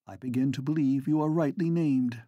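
A man reading the part of a devoted, lovelorn gentleman from an old novel, speaking passionately and reproachfully. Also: medium pitch, normal speed, no echo, lo-fi sound, close to an everyday voice.

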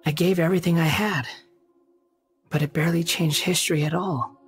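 A man voices a youthful wanderer, composed and guarded. He speaks formally and with measured reserve, in video game drama style, with faint music behind him. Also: fairly high pitch, steady tone, close to an everyday voice. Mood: reflective. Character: young male wanderer with lost past, polite, composed, guarded.

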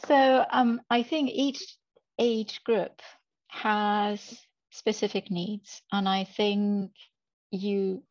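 A woman's voice: mid-range voice, fairly even intonation, extremely slow, a little mellow, no room sound. A middle-aged woman, a translator, answering a panel question on a video call from her home study, thoughtful and hesitant. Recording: muffled video call audio.

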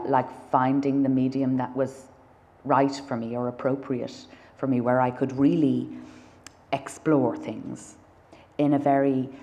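A woman's voice: a bass voice, mellow. An Irish woman in her thirties, an artist talking about her work in a public conversation, thoughtful with many fillers and restarts. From Ireland. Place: dark stage in a gallery lecture hall.

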